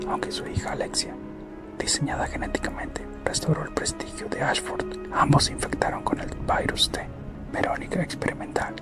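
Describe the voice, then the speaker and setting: A person whispering a scripted ASMR roleplay narration in a slow, deliberate way, as a corporate recruiter. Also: mid-range voice. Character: corporate recruiter recounting company history. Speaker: ASMR content creator. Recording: close whisper over loud background music. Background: music.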